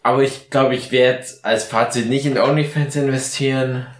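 A man's voice: medium pitch, fairly even intonation, moderate pace. A man chatting casually and ironically as a comedic podcast host, rambling and drunk, in an echoey recording. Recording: echoey, roomy sound.

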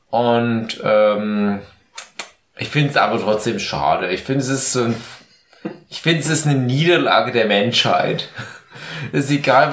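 A man's voice: mid-range voice, a bit bright. A man chatting casually and ironically as a comedic podcast host, rambling and drunk, in an echoey recording. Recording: echoey, roomy sound.

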